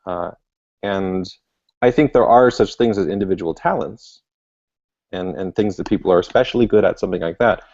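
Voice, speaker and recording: A man's voice: sits low, moderate pace, darkish tone, highs cut off. A man, a guest author, answering interview questions in a thoughtful, explanatory way with many fillers. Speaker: guest author and language learning expert.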